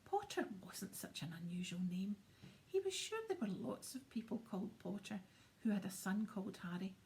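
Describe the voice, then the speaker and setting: A woman's voice: medium pitch, animated, moderate pace, darkish tone, slight background noise, natural voice. A woman reading a grumpy, uneasy office man's muttered thoughts. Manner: muttered, half-whispered inner thoughts. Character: grumpy, uneasy middle-aged office man.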